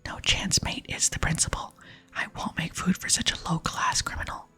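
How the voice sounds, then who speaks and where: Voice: normal speed, sharp tone, lightly acted. A person voicing a proud, grumpy video game cook who scolds in a whisper. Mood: indignant. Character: gruff, proud cook guarding the kitchen, quick to scold.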